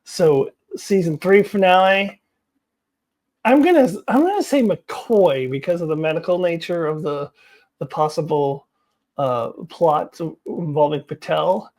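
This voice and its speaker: Lady vlogger w a lowish voice, chatting unscripted, strong opinions, leans hard on her words. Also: animated, measured pace, a little mellow. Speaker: YouTube host giving opinions. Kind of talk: casual unscripted commentary.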